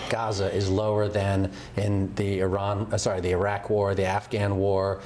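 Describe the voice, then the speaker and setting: A man arguing firmly as a studio debate panelist, speaking in a measured way with frequent fillers. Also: low-pitched, completely flat delivery, a little mellow, slight background noise. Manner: measured, firm, halting with fillers.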